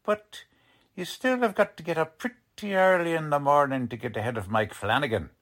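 An actor playing a cocky, condescending police lieutenant in a 1940s-style radio drama, brusque and slangy. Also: male voice, on the high side, normal speed, a bit bright. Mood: smug. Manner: brusque, slangy, ungrammatical tough-cop talk.

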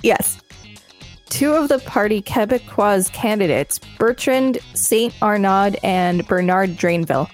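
A woman with a mid-range voice co-hosts a podcast. She moves between reading aloud and casual chat. Soft music sits in the background. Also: medium pitch, unhurried. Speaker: podcast co-host.

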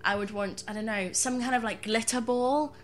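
A woman's voice: fairly high pitch, steady tone, normal speed, faint music behind. A woman chatting casually and giggling, sometimes acting out silly voices. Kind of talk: casual chat.

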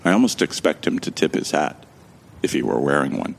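A man's voice: very deep voice, animated, brisk, creaky, heavily acted. An actor playing a friendly amnesiac man who narrates his story in a conversational, wry first-person style. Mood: reflective. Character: Jake Fisher, amnesiac man, observant and friendly. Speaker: narrator and lead character of an audio drama.

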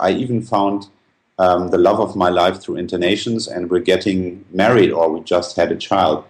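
A man giving explanatory interview answers, earnest and feeling his way through his phrasing. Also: sits low. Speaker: company founder being interviewed.

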